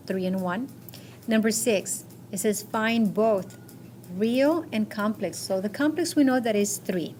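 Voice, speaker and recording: A woman's voice: mid-range voice, a little mellow. A woman teaching a math class, going over quiz answers step by step and checking with short questions. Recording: noisy classroom recording.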